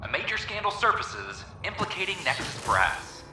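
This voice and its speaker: A space-station news announcer in a sci-fi video game. He reads scripted bulletins with formal drama and big swings in pitch. The broadcast echoes, with music clearly behind it. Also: sing-song, normal speed. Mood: grave, formal. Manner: formal, dramatic newsreader delivery.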